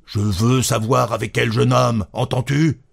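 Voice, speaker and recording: Man acting an excitable, insistent husband, swooping pitch. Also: slow, creaky, slightly rough, no echo, studio quality, full character voice. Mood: stunned, curt. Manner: insistent, repeating himself. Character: jovial, insistent husband turning jealous and furious.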